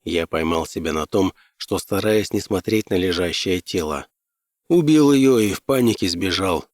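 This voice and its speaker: Low-voiced male audiobook narrator, dry sound. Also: animated, moderate pace, darkish tone, dry recording. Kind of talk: scripted first-person fiction narration.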